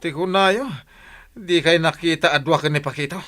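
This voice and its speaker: A man's voice: on the high side, normal speed, fairly bright tone, close to an everyday voice. A man playing a jealous, hot-tempered village husband who accuses and confronts, in a radio drama. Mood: furious, accusing. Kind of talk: Ilocano radio drama acting.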